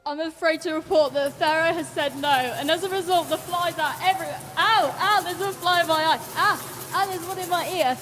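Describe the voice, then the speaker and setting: A woman's voice: extremely high pitch, fairly quick, few pauses, a bit bright, faint music behind. A woman playing a flustered on-the-scene correspondent, giving scripted mock news reports in a dramatic, plaintive manner. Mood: distressed, plaintive. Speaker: field reporter. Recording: reverberant, noisy ambient field sound.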